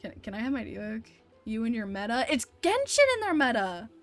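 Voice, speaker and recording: Casting: female game streamer, higher pitch, casual and reactive, mild swearing, game music behind her. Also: very animated, fairly quick. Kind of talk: casual live gameplay commentary.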